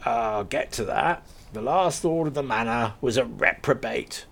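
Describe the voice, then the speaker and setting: A man's voice: mid-range voice, gravelly, pitched above the performer's own voice. A man reading aloud, voicing a cheerful, teasing farmer in his forties who chats freely. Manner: jovial, teasing, chatty.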